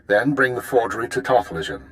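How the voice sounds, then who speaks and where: Man playing male spymaster, mid-pitched, composed, clipped formal delivery, authoritative, video game briefing. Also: expressive, brisk, fairly bright tone, lightly acted. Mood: briskly instructing. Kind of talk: video game character dialogue.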